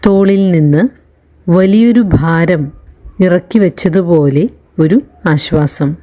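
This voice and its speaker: A woman leading a guided meditation in a calm, soothing narration, leaving long pauses between phrases. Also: lowish voice. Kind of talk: slow guided relaxation narration.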